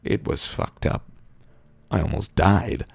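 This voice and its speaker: A man reading written internet posts aloud in a deadpan, comedic way, with a gravelly, husky voice and drawn-out pauses. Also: very deep voice, dry recording. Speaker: reader of internet copypasta posts.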